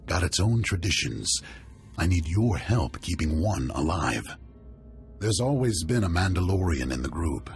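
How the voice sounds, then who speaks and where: An actor playing a proud, hearty older warrior chieftain in a video game, speaking in a stately, commanding way. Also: male voice, low-pitched. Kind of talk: video game cutscene acting.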